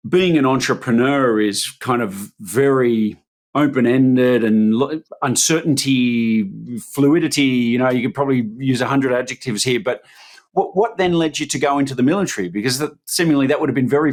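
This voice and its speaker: A man's voice: mid-range voice, normal speed. A man hosting a business podcast, asking long, rambling questions full of ums and restarts. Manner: hesitant, rambling questions with many fillers. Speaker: podcast host. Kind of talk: conversational podcast interview.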